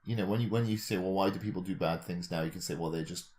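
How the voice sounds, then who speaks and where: A man with a deep, low-pitched voice talks as a podcast co-host. He works through ideas aloud, often questioning himself as he goes. The style is casual and conversational. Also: fast, a little mellow. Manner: tentative, reasoning aloud, self-questioning. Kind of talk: casual speculative discussion.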